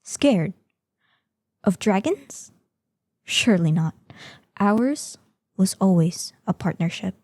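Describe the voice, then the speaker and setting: A woman's voice: medium pitch, animated, a little mellow, no echo, studio quality. A woman playing a proud, ancient female dragon in human form, speaking formally and imperiously in a scripted fantasy audio roleplay. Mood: haughty, indignant. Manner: imperious, formal archaic diction, measured.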